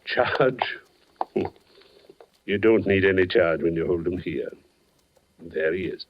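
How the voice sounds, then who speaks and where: An actor playing a world-weary, matter-of-fact police captain in a 1940s radio drama, speaking dryly with deliberate emphasis. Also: male voice, deep voice, slight background noise. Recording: dull, lo-fi 1940s radio broadcast.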